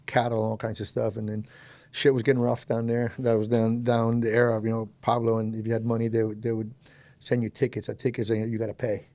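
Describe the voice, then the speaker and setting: A man in his fifties from Colombia talks fast in a flat, gravelly voice, close and dry on the mic. He rambles through his story as a podcast guest, poking fun at himself and laughing easily. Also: mid-range voice, no room sound. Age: 50s. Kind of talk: casual storytelling interview.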